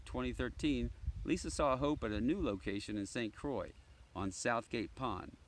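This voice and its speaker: A man reading a children's story aloud in an informal way, sometimes stumbling and correcting himself. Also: mid-range voice. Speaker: storybook reader, ranger. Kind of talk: read-aloud children's story with casual asides. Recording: clearly noisy background with clicks.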